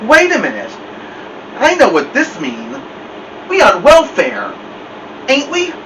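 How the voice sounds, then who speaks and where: A man's voice: very high voice, wildly swooping pitch, slow pace, voice pushed up, heavily acted. A man voicing a cocky, indignant teenage big brother in a storybook read-aloud, slangy, whiny and bossy. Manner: whiny, slangy protesting and bossing. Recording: muffled lo-fi, distant mic, loud hiss.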